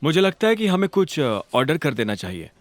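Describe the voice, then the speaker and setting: Man acting a guarded, hesitant young man, lively pitch. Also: no room sound. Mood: evasive. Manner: hesitant, halting, deflecting. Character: guarded, evasive young man hiding his true work. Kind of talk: Hindi audio drama dialogue.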